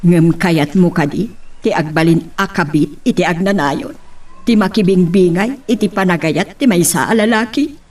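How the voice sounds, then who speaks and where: A woman's voice: fairly low pitch, animated, moderate pace, darkish tone, recorded from a distance. A woman playing a concerned, protective middle-aged mother who probes and advises in an Ilocano radio drama. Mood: earnest, pleading. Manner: motherly, questioning.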